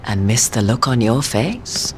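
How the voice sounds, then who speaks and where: A woman's voice: a bass voice, sing-song, a little slow, darkish tone, a little hiss or hum, full character voice. An actress playing a flirtatious, scheming female thief with a sly, teasing manner in a video game cutscene.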